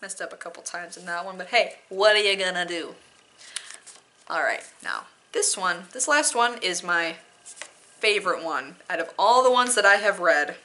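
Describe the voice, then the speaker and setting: A woman's voice: on the low side, animated, normal speed, sharp tone. A woman doing an amateur dramatic poetry reading with casual asides, theatrical and self-deprecating in manner.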